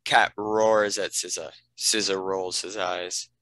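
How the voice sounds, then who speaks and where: Male narrator, fairly low, crisp forceful tone, scripted action narration, dry studio-like sound. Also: level tone, slow, no echo. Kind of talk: scripted narration of stage directions.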